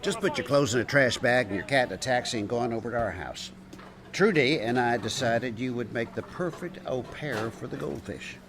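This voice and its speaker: An actor as wisecracking restaurateur, animated delivery. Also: male voice, medium pitch, fairly quick. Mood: playful, generous. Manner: wisecracking, theatrical, teasing with endearments. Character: wry, big-hearted restaurateur and ex-bartender, teasing and affectionate. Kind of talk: comedic radio drama acting.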